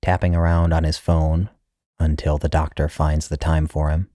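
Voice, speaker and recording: A man's voice: rumbling low, little pitch movement, normal speed, warm tone, dry recording, studio quality. A man reading a literary short story as its narrator, in a scripted style.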